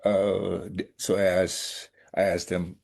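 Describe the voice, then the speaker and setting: A man speaks over a video call as a student presenting in class. His voice is very low and his delivery measured, now and then clearing his throat. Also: little pitch movement, darkish tone. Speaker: student and doctor.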